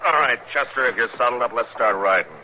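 Forceful, sharp-voiced western lawman. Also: mid-range voice, fast talker, dull audio. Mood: resolute, irritated. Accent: American. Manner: terse, firm. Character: stern, determined frontier lawman in a radio western. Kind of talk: 1950s radio drama acting. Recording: hissy 1950s radio recording.

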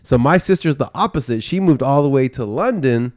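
A man's voice: medium pitch, animated, fairly quick, a little mellow, dry recording, studio quality. A man hosting a podcast interview, easygoing and encouraging as he reacts to his guest and shares his own stories. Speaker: podcast host. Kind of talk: casual interview chat.

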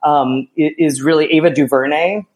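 An American man speaking thoughtfully as an interview guest, often checking in with a quick "right?". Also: fairly high pitch, fairly even intonation, a little mellow. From small town in Pennsylvania, United States. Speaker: interview guest, theatre artistic director. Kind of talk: conversational interview.

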